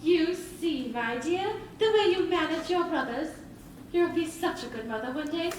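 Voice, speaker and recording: Prim, strict mother, high and lively. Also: normal speed. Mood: fond, exasperated. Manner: prim, proper, admonishing. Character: prim, strict but loving Edwardian mother. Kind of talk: theatrical stage acting. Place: theatre stage. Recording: echoey stage recording, distant mic, faint noise.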